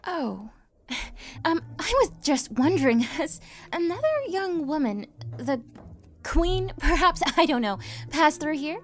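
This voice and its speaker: Woman voicing high-pitched, awkward young princess. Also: lively intonation, measured pace, slight background noise. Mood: awkward, hopeful. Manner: hesitant, chatty, nervously giggly. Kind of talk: amateur animated film fandub. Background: loud music.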